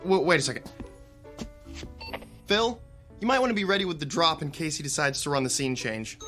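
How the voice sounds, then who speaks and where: A man playing an eager theater stagehand, casual and quick-talking in a radio drama. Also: fairly high pitch, lively intonation, fairly bright tone, faint music behind.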